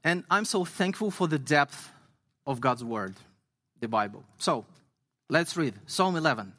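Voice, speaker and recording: A man from Ukraine, an English speaker, preaching a sermon earnestly and reading scripture slowly with emphasis. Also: fairly high pitch, animated, pushed and bright. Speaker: guest pastor.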